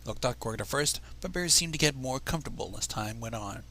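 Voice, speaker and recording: A man's voice: medium pitch, animated, quick pace, sharp tone. A man reading a story aloud with casual asides, stumbling over words and going back over them. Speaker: fan fiction reader.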